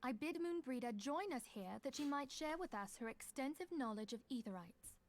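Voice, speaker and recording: Composed, high-voiced woman leader. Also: expressive. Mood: gracious, formal. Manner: formal, courteous, measured. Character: composed, gracious woman leading a scholarly order. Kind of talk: fantasy video game acting.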